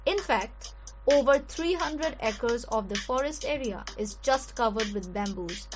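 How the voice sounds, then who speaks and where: A woman's voice: fairly high pitch, animated, a little slow, soft background music. A woman with an Indian accent co-hosting a radio show and presenting information. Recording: dull audio, faint background noise.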